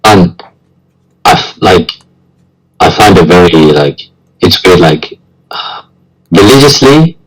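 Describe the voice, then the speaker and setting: A man's voice: low voice, lively intonation, slow pace, long pauses, husky, rough recording. A young man, chatting casually as a guest, hesitant and rambling with lots of slang. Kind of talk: casual chat.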